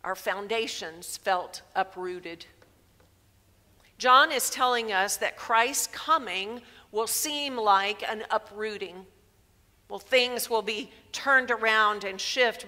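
A woman in her sixties preaching a sermon in a church sanctuary through a headset mic, measured and emphatic. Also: medium pitch, pushed and bright. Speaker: pastor. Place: large church sanctuary, indoors. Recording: long reverb of a church hall.

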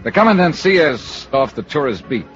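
A man's voice: medium pitch, very animated, moderate pace, a bit bright. A man acting a tough, cynical American insurance investigator in 1950s radio style, clipped and sardonic. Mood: dry narrating. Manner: clipped, sardonic, hard-boiled. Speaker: lead actor and narrator. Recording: muffled old radio recording with music.

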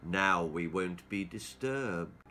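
A sinister storybook barber , acted out by a male reader, speaks slowly and deliberately with quiet menace. His delivery swings from low murmurs to bright, pointed coaxing, as if toying with naughty children. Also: expressive, music in the background. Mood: quietly menacing.

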